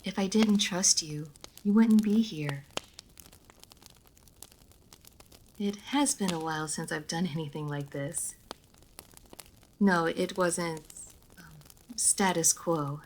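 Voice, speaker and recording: A woman playing a refined, reserved vampire noblewoman in a roleplay audio drama, speaking formally with measured diction, hesitant pauses and dry playfulness. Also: on the low side, darkish tone, slight background noise, lightly acted, rough recording. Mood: formal, guarded. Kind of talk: roleplay audio drama, intimate monologue to listener.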